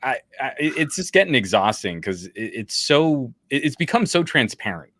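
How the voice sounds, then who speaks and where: A man's voice: mid-range voice, very animated, normal speed, a bit bright, no room sound. A man co-hosting a casual tech show, snarky and sarcastic as he riffs at length. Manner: snarky, sarcastic, fluent riffing. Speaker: co-host.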